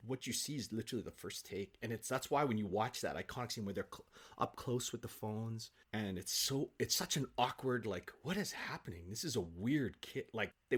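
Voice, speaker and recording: A man in an interview, telling anecdotes with nerdy, animated enthusiasm. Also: mid-range voice, fairly quick, slightly rough. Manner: enthusiastic, self-confessed film nerd. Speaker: guest, stage actor.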